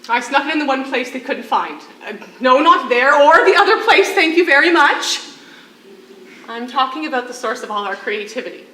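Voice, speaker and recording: Echoey, bright-voiced defiant condemned mother. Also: sits high, lively intonation, moderate pace. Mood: indignant, wry. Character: defiant condemned prisoner, devoted mother and choir singer. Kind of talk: theatrical stage play acting. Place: theatre stage, live performance. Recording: echoey stage recording with faint noise.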